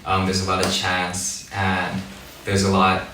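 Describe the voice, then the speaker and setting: A young man from Hawaii and Japan, a boy band vocalist, giving calm, measured interview answers. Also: low-pitched, a little hiss or hum. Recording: echoey room, mic at a distance.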